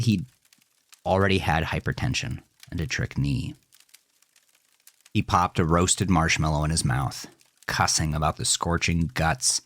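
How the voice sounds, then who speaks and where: A man reading a scripted first-person horror story in a measured, deliberate, dramatic way. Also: deep voice, gravelly, no room sound. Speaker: narrator of a horror fiction podcast.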